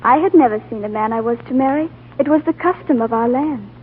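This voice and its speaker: An actress playing a gentle, dignified noblewoman with a measured, formal, wistful manner in 1940s radio drama style. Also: a little high, slight background noise, natural voice. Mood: wistful, resigned. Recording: tinny, humming 1940s radio recording.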